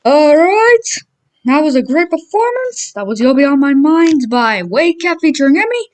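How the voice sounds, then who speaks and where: A woman's voice: high voice, very animated, moderate pace, darkish tone, faint music behind. A woman playing a chatty, upbeat morning radio host who introduces songs and talks casually to listeners. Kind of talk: radio DJ patter.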